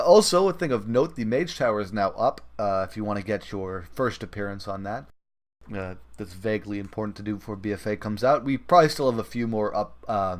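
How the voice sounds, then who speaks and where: A man hosting a gaming podcast with casual, conversational talk. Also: lowish voice. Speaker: podcast host.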